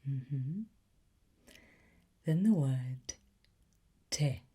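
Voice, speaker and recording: A woman whispering an ASMR roleplay as a sly, softly menacing demonic teacher, in a coaxing, teacherly manner with pauses. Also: very deep voice, expressive. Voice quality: breathy, mostly whispered.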